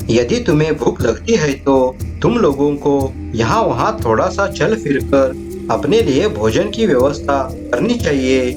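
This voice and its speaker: A man voicing a kind but commanding vulture king in a cartoon moral story, speaking earnestly in formal, declamatory lines. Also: on the high side.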